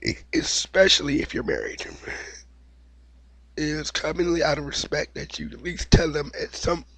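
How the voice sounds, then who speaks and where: A man with a cold and a slightly raspy voice, giving an opinionated, repetitive monologue as the host of his own video. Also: a little high, very animated, moderate pace, fairly bright tone, no room sound, low-quality recording. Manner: opinionated, preachy, repeats himself. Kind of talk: unscripted monologue vlog.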